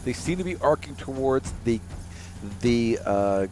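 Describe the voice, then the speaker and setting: A man's voice: mid-range voice, expressive, measured pace, darkish tone, a little hiss or hum. A man running a tabletop game as game master, improvising narration and chatting with his players.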